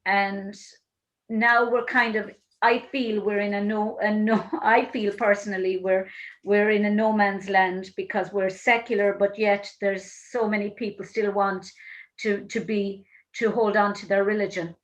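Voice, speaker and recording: A woman's voice: medium pitch, little pitch movement, rough recording. A woman with an Irish accent hosting an interview over a video call, speaking thoughtfully and often restarting her sentences. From Ireland. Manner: thoughtful, halting, many restarts. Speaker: host.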